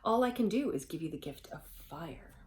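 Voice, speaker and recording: A woman's voice: on the low side, animated, moderate pace, a little mellow, natural voice. Woman voicing a solemn, kindly male sky spirit. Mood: gentle, firm.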